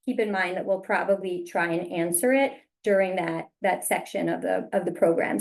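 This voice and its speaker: A woman hosting a virtual panel as moderator, introducing topics and passing questions along over a video call. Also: medium pitch.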